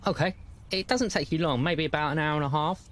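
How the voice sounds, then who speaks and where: A man's voice: fairly high pitch, fast talker, a bit bright. British man running a tabletop game. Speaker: game master. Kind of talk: narrating a tabletop game, improvised.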